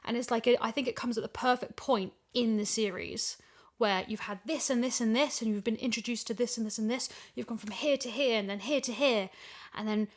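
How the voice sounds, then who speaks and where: A woman's voice: fairly high pitch, fairly quick. A woman in a casual podcast chat, talking emphatically and repeating phrases for stress as a voice actor discussing an audio drama.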